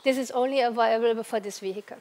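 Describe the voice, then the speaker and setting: A woman with an Austrian accent presents a product at a press event. She has a somewhat high voice and explains features step by step, now and then pausing or restarting a word. A long hall reverb trails after her voice. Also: a little mellow. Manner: explanatory, with occasional hesitations and restarts.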